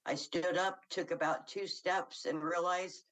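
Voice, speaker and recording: A woman's voice: fairly low pitch, fairly even intonation, measured pace, fairly bright tone. A woman being interviewed for local news, telling a story casually in a plain-spoken, wryly amused way.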